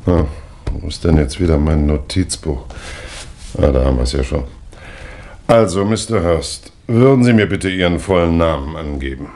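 An actor playing a methodical, polite but firm police inspector, questioning formally in 1960s German radio drama style. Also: male voice, a bass voice, expressive, moderate pace, darkish tone. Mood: sceptical, businesslike. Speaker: actor in a crime radio play.

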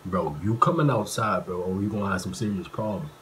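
A man putting on a deep voice as an insistent, slangy tough guy on a prank call. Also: animated, fairly quick, fairly bright tone, slight background noise. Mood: pushy, menacing. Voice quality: deep, put-on low voice.

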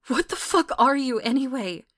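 Woman acting intense high-voiced mother, bitterly protective. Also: lively intonation, moderate pace, fairly bright tone. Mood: angry, accusing. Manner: confrontational, intense. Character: bitter, protective mother confronting a dangerous stranger. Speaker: voice actor in audio drama. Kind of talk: dramatic audio roleplay acting.

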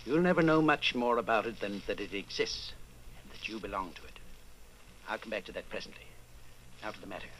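A man playing a calm, authoritative underground leader, speaking gravely and deliberately in an old-time radio drama. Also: lowish voice, slight background noise, close to an everyday voice, low-quality recording. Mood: grave, measured. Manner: measured, deliberate, solemn.

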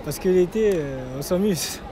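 A man answers briefly and casually outdoors. His voice is slightly high and the street is clearly noisy. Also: moderate pace. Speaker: street interviewee.

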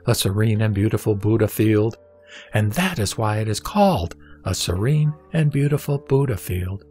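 A man playing the Buddha as a serene teacher, reciting scripture in a measured, didactic, emphatic way. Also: lowish voice, a little mellow. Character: the Buddha, serene male spiritual teacher instructing a disciple. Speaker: sutra audio drama reader.

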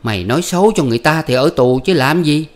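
A man voicing a sly, foul-mouthed peasant wife who scolds and curses in a high, loud voice. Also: lively intonation, moderate pace, natural voice. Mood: scolding, cursing. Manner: shrill scolding and cursing. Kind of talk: storybook reading.

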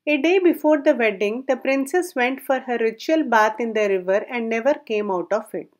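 A woman narrating a folk tale aloud in a measured storybook reading, her sentences falling at the ends. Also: on the high side, animated.

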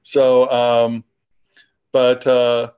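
An older man, a guest speaker in an online meeting, explains an outreach program informally, in a measured way with pauses mid-sentence. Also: medium pitch, completely flat delivery, a little mellow, smooth. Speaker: guest speaker from a space research institute. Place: small room at home, indoors. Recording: dull lo-fi video-call audio.

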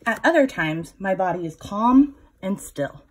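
A woman in her thirties reading a children's picture book aloud, instructive, pausing to put questions to young listeners. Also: mid-range voice, animated, breathy edge, low-quality recording. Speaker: children's story time reader.

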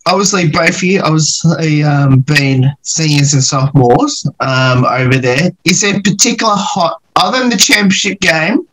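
Brief: male radio host, slightly breathy voice, steady questions, video-call sound. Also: a little high, moderate pace, hardly any pauses, low-quality recording. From Australia. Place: small room at home, indoors.

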